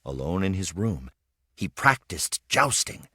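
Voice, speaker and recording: Male narrator for children's read-aloud: lowish voice, very lively intonation, dramatic phrasing, dry close sound. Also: a little slow, breathy edge, no echo. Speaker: storybook reader.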